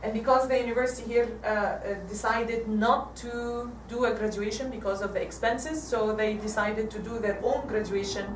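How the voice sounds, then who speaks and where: An Egyptian woman in her forties gives a church talk with slides, halting and restarting often. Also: a little high, steady tone, slight background noise. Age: 40s. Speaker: guest speaker. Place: church hall. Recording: echoey hall from far off.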